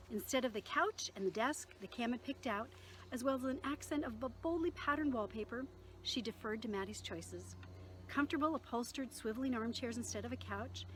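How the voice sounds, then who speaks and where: A woman reading her own novel aloud in an expressive storytelling manner, with occasional small stumbles. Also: fairly high pitch, low-quality recording.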